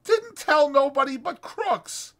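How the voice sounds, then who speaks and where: A man's voice: extremely high pitch, lively intonation, slow, a bit bright, voice pushed up, heavily acted. A man voicing an anxious, kindly old ranch hand with folksy rural speech in a dramatized audiobook. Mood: eager, folksy. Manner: folksy rural American dialect.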